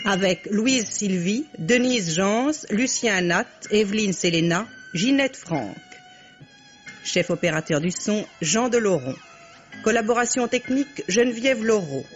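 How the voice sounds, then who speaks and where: A woman's voice: medium pitch, expressive, a little slow. A woman announcer formally reading the credits of a radio drama. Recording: dull vintage radio recording. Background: music.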